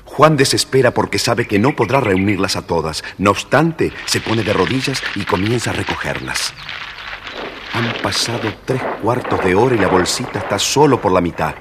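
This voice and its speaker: A man's voice: lowish voice, expressive, moderate pace. A man narrating a scripted children's fairy tale as the storyteller. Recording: reverberant, noisy old story record.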